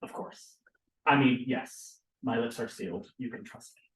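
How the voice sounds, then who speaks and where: A man's voice: medium pitch, normal speed, natural voice. A man acting an affable middle-aged documentary maker in a script read-through, hesitant and self-deprecating. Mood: agreeable. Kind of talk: table read of a play script. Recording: echoey room.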